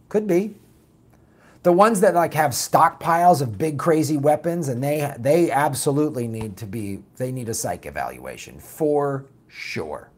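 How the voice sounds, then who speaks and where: A raspy man hosting a political talk show, reading viewer comments and answering them bluntly in a casual, animated way. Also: fairly high pitch. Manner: blunt, animated, mocks opponents with put-on quotes.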